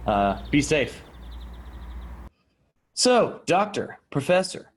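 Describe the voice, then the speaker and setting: A man's voice: on the high side, very animated, extremely slow, a little hiss or hum, heavily acted. A man playing an easygoing panel show anchor in an improvised comedy sketch, moderating casually.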